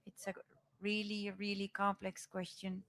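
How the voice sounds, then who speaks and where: A woman's voice: mid-range voice, completely flat delivery, measured pace, a bit bright. A Dutch-born woman poet whose first languages are Dutch and Moroccan Arabic, speaking on a panel. She talks thoughtfully and moves between English and Moroccan Arabic as she tells her stories. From Netherlands. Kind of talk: panel discussion.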